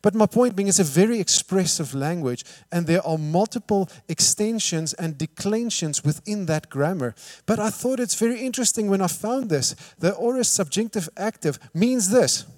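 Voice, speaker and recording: A man's voice: high-pitched, expressive, moderate pace, few pauses, no room sound. Emphatic preacher man. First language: Afrikaans. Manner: emphatic, rhetorical questions, swings from hushed to loud. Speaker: pastor. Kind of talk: sermon.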